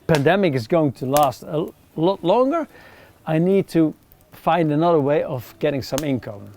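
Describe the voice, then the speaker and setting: A middle-aged man with a Dutch accent, chatting casually to camera outdoors by a campfire, relaxed with frequent ums. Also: on the high side, vocal fry, a little hiss or hum. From Netherlands. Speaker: outdoor gear reviewer.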